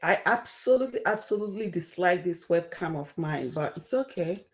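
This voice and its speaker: A woman's voice: fairly low pitch, animated, moderate pace. A young woman in her twenties with a thick Nigerian accent, chatting on a livestream and apologizing over phone trouble. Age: 20s. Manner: apologetic, flustered by tech trouble. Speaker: guest co-host. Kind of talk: casual livestream chat.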